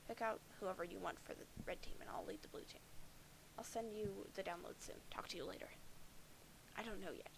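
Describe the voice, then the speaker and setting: A woman's voice: medium pitch, fairly even intonation, fairly quick, creaky, background noise, natural voice. A woman voicing a bitter, foul-mouthed alien troll boy who sees himself as a prophet of doom, sardonic and defensive.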